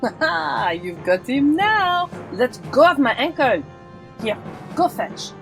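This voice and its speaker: A woman's voice: high-pitched, very animated, slow pace, vocal fry, fairly bright tone, faint music behind, heavily acted. A woman playing a plucky, brash swordfighting heroine in an audio drama, bold and sardonic. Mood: boisterous.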